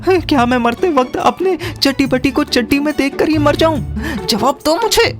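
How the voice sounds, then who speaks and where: A woman's voice: sits high, expressive, normal speed, music in the background. A woman voicing an exasperated, melodramatic young man in a comic audio drama. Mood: melodramatic despair. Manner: melodramatic complaining.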